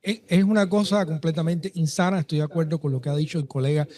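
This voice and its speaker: Guy from cuba on a talk panel, high pitched, mellow, quiet and thoughtful. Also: fairly even intonation, fast. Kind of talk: political debate commentary.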